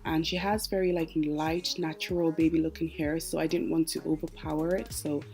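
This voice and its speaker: A woman's voice: sits low, little pitch movement, fairly quick, a little mellow, faint music behind. A young woman narrating a makeup tutorial, chatty and explaining each step as she works. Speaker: makeup artist.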